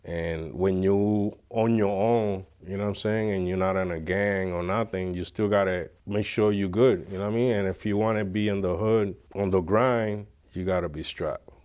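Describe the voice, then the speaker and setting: A man's voice: low voice, steady tone, moderate pace, a little mellow. A man from Puerto Rico talking casually as a podcast guest, using street slang and frequent conversational tags. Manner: street slang, frequent "you know what I mean". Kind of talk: casual personal storytelling.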